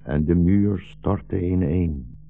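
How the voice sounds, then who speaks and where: An actor playing a weary, resigned old blind rabbi in a 1960s radio drama, measured and formally polite, with a meek irony. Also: male voice, sits low, creaky, heavily acted. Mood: solemn musing. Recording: dull 1960s radio recording, music behind.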